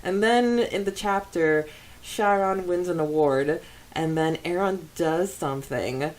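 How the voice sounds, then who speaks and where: A woman's voice: on the low side, a little slow, darkish tone, low-quality recording. A woman co-hosting a casual fan podcast, chatty and often laughing as she talks. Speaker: podcast co-host.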